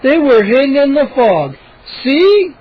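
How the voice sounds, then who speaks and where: A man's voice: very high-pitched, sing-song, a little slow, lo-fi sound, pitched above the performer's own voice, full character voice. A man reading an audiobook voices a father who is fed up with his son's night-time antics. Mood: agitated. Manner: impatient, scolding. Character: exasperated grown father, stern then relenting.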